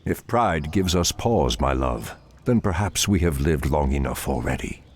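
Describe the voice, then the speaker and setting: An actor playing an ancient, solemn male night elf druid leader in a grave, deliberate game cinematic style. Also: very deep voice, animated, slight background noise. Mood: tender, resolute. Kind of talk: dramatic game cinematic acting.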